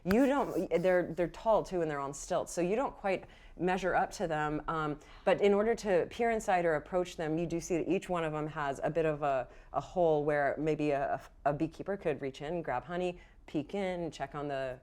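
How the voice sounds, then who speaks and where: Slightly low-voiced woman game master. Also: a little mellow. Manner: halting, self-correcting, casual. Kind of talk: improvised tabletop game narration.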